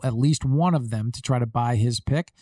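A man co-hosting a casual sports talk show, telling animated stories and restarting his sentences often. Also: mid-range voice, normal speed, no room sound, clean studio sound. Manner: animated storytelling with many restarts. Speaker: podcast co-host, rules expert.

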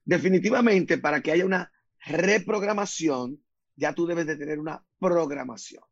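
A man from the Republic, speaking as a guest on a radio talk show, earnestly explaining his ideas and slowing down to stress points. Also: a little high, gravelly, husky, no room sound, lo-fi sound. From Dominican Republic.

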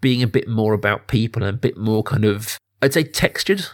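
A man's voice: on the low side, fairly quick. A man in his thirties hosting a podcast, discussing films casually and thinking aloud with qualifying asides. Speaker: podcast host.

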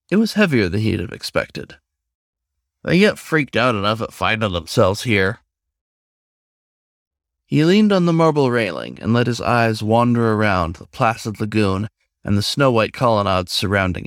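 A man's voice: medium pitch, no echo, clean studio sound. A man narrating a scripted short story as its author. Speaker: author reading own story.

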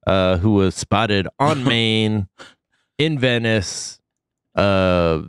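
A man's voice: on the low side, drawn-out words, smooth, no room sound, clean studio sound. A man with a cold hosting a casual comedy podcast, loose and jokey with big swoops of emphasis. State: sick, deep voice. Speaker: podcast host.

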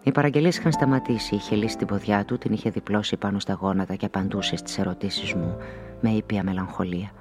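Low-voiced reflective narrator over music male performer. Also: steady tone, lightly acted. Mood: reflective, wistful. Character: reflective, inquisitive woman narrator who smokes, unmarried. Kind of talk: literary first-person radio drama narration.

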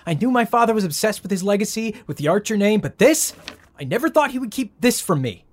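A husky-voiced man in his thirties as a rich family's volatile heir in an audio drama. His pitch swings widely as he stammers and pushes his words out with wounded indignation. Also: sing-song, quick pace. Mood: outraged, overwhelmed. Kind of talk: naturalistic audio drama acting.

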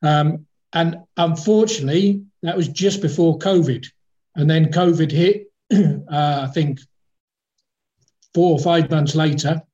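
A man's voice: on the high side, measured pace, mellow, muffled recording. A man, a football club executive, talking as a guest in a casual interview, rambling and hesitant with many fillers and restarts. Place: in the capital of Kazakhstan.

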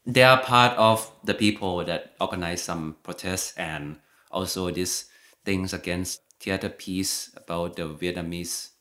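A young man speaks in a very low voice, stopping and restarting often with lots of fillers. His talk is loose and conversational, circling around his thoughts before landing on them. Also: a little slow, pushed and bright. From Thailand. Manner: hesitant, many fillers and restarts. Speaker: guest. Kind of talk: casual conversation.

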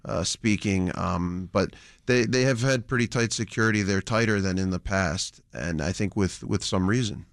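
A man with a fairly low voice recounting things in a wry, anecdotal manner on a radio panel and chuckling through his sentences. The sound is dry and close, with no room around it. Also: moderate pace, few pauses, no room sound. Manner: wry anecdotal storyteller, chuckles while talking. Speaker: reporter panelist. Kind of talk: radio news roundtable.

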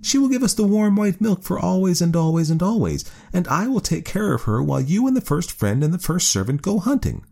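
A man's voice: high voice, big pitch swings, fairly quick, vocal fry, hardly any pauses, warm tone, voice pushed up, full character voice. A man voicing a proud, clever cave woman in a storybook, in a lofty, commanding sing-song. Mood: haughty.

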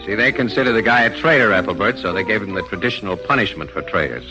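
A man acting as a wisecracking American press photographer with a low voice. He talks in wry slang in 1940s radio drama style. The old broadcast recording is muffled, with music behind it. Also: lively intonation, fast, natural voice. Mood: wry, explaining.

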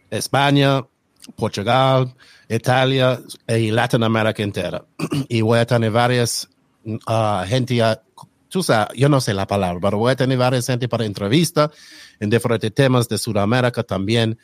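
Non-native man hosting in halting Spanish. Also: mid-range voice, dry recording. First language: English. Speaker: podcast host. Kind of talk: casual podcast intro.

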